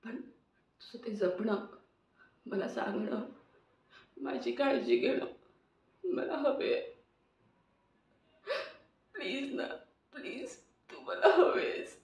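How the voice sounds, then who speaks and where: Actress doing a crying young woman begging forgiveness, slow and halting, roomy distant sound. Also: on the high side, animated, darkish tone, exaggerated voice. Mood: pleading. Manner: halting, tearful pleading with repeated phrases. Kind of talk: emotional audition monologue. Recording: roomy, muffled, distant mic.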